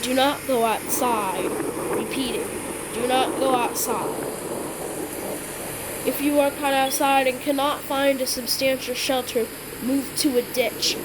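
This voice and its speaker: A woman improvising an amateur mock emergency broadcast as the announcer, with halting, repetitive delivery. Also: high voice, steady tone. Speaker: emergency alert announcer in a hobby scenario. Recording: clearly noisy home recording.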